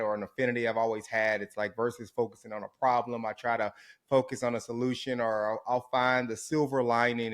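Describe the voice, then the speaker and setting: A man from Houston, Texas, talks as a guest in a casual interview. His voice sits at an ordinary middle pitch. He tells his story in an upbeat, reflective way with an easy flow.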